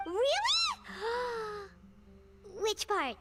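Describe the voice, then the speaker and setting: A woman voicing a tiny, excitable, childlike sprite companion in a video game with a squeaky, cartoonish voice. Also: very slow, a little hiss or hum, full character voice. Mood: surprised.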